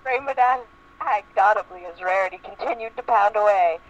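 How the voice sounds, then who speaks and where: A woman reads a comic story aloud in a mid-pitched voice, as an amateur dramatic reading. The sound is muffled and lo-fi with slight noise. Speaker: reader. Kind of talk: comedic dramatic reading of fan fiction. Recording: lo-fi radio-like sound, faint noise.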